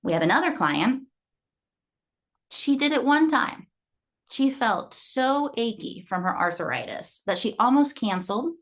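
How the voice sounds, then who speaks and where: A woman in her thirties from Guatemala, a fitness coach giving an earnest motivational talk that is full of client stories. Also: fairly high pitch. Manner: earnest, storytelling. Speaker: fitness coach and gym owner.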